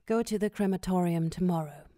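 A stern female crime clan boss in an audio drama, acted by a woman. Her voice sits a little low and dry, and she gives curt, withering commands. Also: a little fast, dark tone, no room sound, close to an everyday voice. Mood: cold, commanding.

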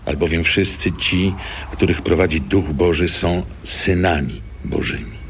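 A man playing an earnest, stern apostle in a dramatized audio Bible, teaching in a grave, emphatic and deliberate manner. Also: low-pitched, a little hiss or hum.

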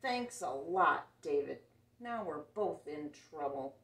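A woman voicing an exasperated big brother, a boy who scolds emphatically in drawn-out words, in a children's storybook reading. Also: low voice, lively intonation, a bit bright, pitched below the performer's own voice, full character voice. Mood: annoyed, scolding. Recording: roomy, distant camera microphone.

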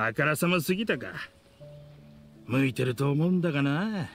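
A man playing an easygoing, loyal gang henchman in an anime game, with rough, frank, casual speech. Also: on the high side, animated, normal speed, lightly acted. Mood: frank, teasing. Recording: clear background music behind voice.

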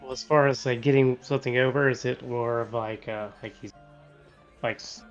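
Gravelly guy chatting as a player, slightly high, dull lo-fi call audio. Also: fairly even intonation, normal speed, faint music behind. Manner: loose, chatty, many "like"s. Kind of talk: casual chat at a tabletop game. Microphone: video call webcam.

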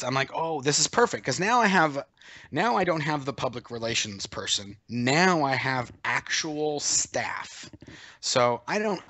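A man in his forties on a video call, casually telling a story in an animated way and acting out what others said. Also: mid-range voice, dry recording. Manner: animated, acts out quoted voices, laughs as he tells it. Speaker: interview guest.

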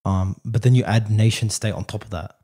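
Argumentative low-voiced man co-hosting. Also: fairly quick, crowd noise. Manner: animated, argumentative, says "bro" a lot. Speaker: podcast co-host. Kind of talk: casual debate.

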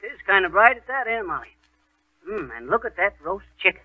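An actor playing a folksy, cocky American husband who blusters in slang, in 1930s radio comedy. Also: male voice, high-pitched, very animated, brisk. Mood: cocky, eager. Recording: tinny, noisy 1930s radio recording.

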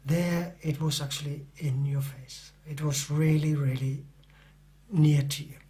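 A man's voice: on the high side, level tone, measured pace, mellow. A middle-aged man who is not a native English speaker, an author answering interview questions in a hesitant way, with many fillers and restarts.

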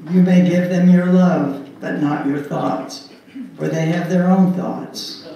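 Older lady leading a church service, formal deliberate reading, super echoey and far from the mic. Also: lowish voice, fairly even intonation, highs cut off. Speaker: worship service leader. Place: church sanctuary, indoors. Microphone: pulpit microphone, recorded by far camera. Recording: very echoey, distant, faint room noise.

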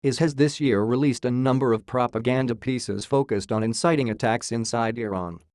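A man's synthetic voice reading a scripted news report in a flat, read-out manner. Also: mid-range voice, moderate pace, no echo, clean studio sound. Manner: flat synthetic read-out, spells out abbreviations and symbols. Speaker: news reader.

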